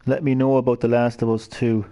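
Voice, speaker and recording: A man's voice: mid-range voice, level tone, a little fast, warm tone. Joking Cork Irishman in his thirties. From Ireland. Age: 30s. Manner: chatty, jokey, talks to chat. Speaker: live streamer. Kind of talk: live gameplay commentary.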